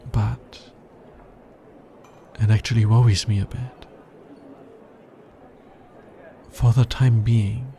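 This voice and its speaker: A man playing a tender, earnest alien scientist in an intimate ASMR romance roleplay, soft-spoken and measured. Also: mid-range voice, vocal fry, a little hiss or hum. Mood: wistful, worried. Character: smitten male alien scientist, earnest, tender, fascinated by humans.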